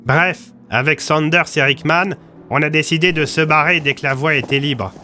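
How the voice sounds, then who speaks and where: A man's voice: fairly high pitch, steady tone, normal speed, sharp tone, faint music behind, pitched above the performer's own voice. A man playing a blunt, slangy small-town police commissioner recounting his survival in an audio story. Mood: grim recounting.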